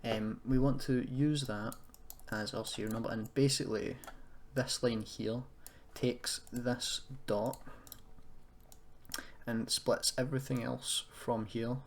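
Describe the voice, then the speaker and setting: A man giving an informal software tutorial, thinking aloud in a hesitant, self-deprecating way as he goes. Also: medium pitch, fairly even intonation, slow. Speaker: tutorial presenter. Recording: noisy room with keyboard clicking.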